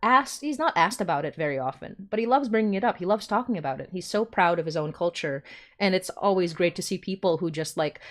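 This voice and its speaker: A woman's voice: lowish voice, animated, fairly quick. A woman telling an anecdote in an enthusiastic, gushing way in casual chat.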